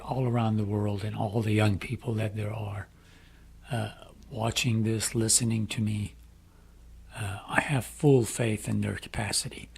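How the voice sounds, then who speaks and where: Slow, gravelly Iranian-accented man. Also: fairly low pitch. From Iran. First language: Farsi. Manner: slow, deliberate, emphatic phrasing. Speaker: speaker addressing young people. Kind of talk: earnest inspirational appeal.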